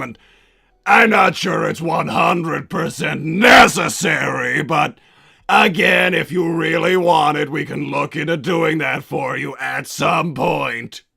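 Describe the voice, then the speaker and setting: Gravelly, high-strung cartoon villain. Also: male voice, bright, forceful tone, no echo, studio quality, heavily acted. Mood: patient, fatherly. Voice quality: gravelly, raspy. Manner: blustering, bombastic. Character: pompous, hot-tempered cartoon villain doctor, doting strict papa. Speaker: livestream host. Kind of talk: in-character livestream chat.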